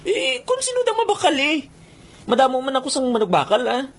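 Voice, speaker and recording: Animated, eager young bird catcher. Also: male voice, very high voice, fairly quick, heavily acted. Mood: boastful. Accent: Filipino, Western Visayan. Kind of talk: Philippine radio drama acting.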